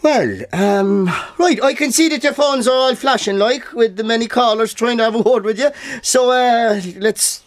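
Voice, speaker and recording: A man's voice: very high-pitched, normal speed, hardly any pauses. A starstruck male local radio host from a comic audio play. He speaks with a Cork lilt, gushing and stumbling nervously over his words. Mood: flustered, awestruck. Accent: Cork Irish.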